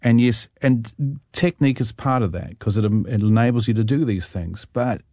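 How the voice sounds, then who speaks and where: A man with a middle-pitched voice asks measured, leading questions as an interviewer. The sound is dry and close, with no room around it. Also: mellow, dry recording. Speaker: radio interviewer.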